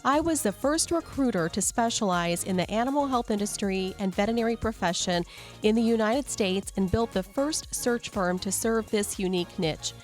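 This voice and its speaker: A woman's voice: mid-range voice, moderate pace, faint music behind. A woman hosting a podcast, reading a polished introduction and asking her guest questions. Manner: polished, upbeat delivery. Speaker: podcast host. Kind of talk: scripted intro read, then interview questions.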